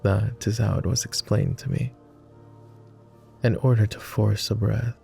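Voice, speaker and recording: Guy doing a low posh vampire, super gravelly, soft and tender, asmr roleplay. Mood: calm explaining. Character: gentle, teasing male vampire, devoted and wistful.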